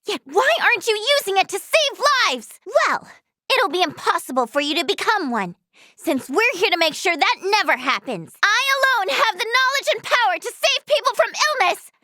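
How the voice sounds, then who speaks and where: A woman playing an earnest, bubbly young female healer and scholar in a video game, speaking in a girlish, expressive way. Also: sits high, a little slow, no echo, studio quality. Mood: indignant.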